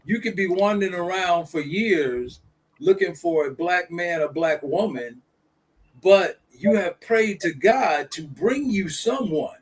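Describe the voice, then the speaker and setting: A man holds forth in an easygoing discussion. His voice sits high for a man. He circles back and repeats his key phrases with a preacher's rhythm. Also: poor audio. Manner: preachy, repeats points for emphasis. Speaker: guest speaker. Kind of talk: casual discussion.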